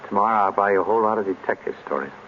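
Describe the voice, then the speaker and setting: An actor playing a reluctant, unflappable former detective, dry and teasing, in an old radio drama. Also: male voice, lowish voice, racing, lightly acted. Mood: sleepy, wry. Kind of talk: 1930s radio drama acting. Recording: dull, noisy old radio recording.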